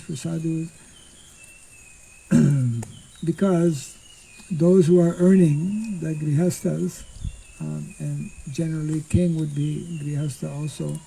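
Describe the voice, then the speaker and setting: An older monk giving an informal scripture class outdoors into a stand mic, speaking slowly and haltingly and clearing his throat often. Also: a little high, fairly even intonation, dark tone, slight background noise, rough recording. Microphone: stand mic in front.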